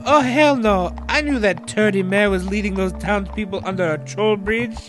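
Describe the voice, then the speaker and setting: A man acts out an old male groundskeeper for a comic audio play, pitched extremely high. He is a jokey, slangy, folksy old fart, with faint music behind him. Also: moderate pace, a bit bright. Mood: alarmed. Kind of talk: comedic audio drama.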